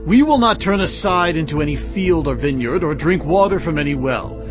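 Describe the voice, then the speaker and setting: A man's voice: on the high side, lively intonation, normal speed, muffled recording, over music, natural voice. An actor playing a courteous, earnest messenger to a king, formal in manner, in a dramatized audio Bible. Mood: earnest request.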